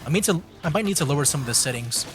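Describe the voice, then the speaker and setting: A man streaming a video game, commenting casually and thinking out loud as he plays. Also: fairly high pitch, animated, racing, music in the background. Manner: chatty, thinking aloud. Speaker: gaming streamer.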